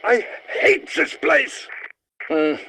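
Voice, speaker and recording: Fussy, theatrical scientist, swooping pitch. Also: male voice, wildly swooping pitch, extremely slow, vocal fry, sharp tone, husky, dry recording, heavily acted, low-quality recording. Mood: alarmed, flustered. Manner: precise, pompous, theatrical diction. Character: eccentric, fussy, sardonic male scientist, theatrical and squeamish. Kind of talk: video game character voice lines.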